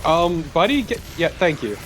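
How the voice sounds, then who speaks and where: A man's voice: a little high, very animated, normal speed, a little hiss or hum, low-quality recording. A man chatting casually as a co-commentator on a video game, swearing now and then. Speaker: let's play co-commentator. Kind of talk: casual banter over gameplay. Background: game music.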